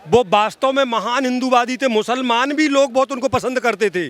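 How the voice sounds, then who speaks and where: A man's voice: very high-pitched, moderate pace, a bit bright. A man giving impassioned, opinionated political commentary as an activist in an interview, emphatic, repeating phrases and addressing the listener directly. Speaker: social activist being interviewed.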